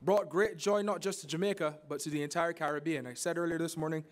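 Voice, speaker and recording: A man's voice: on the high side, fairly even intonation, quick pace. A man from Barbados acting as master of ceremonies, introducing speakers at a formal event in a polished, announcing way.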